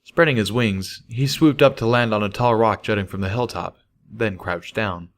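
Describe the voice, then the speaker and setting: A man with a fairly low voice narrates a first-person story. He reads from a script as an audiobook narrator. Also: moderate pace. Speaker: audiobook reader of fan fiction.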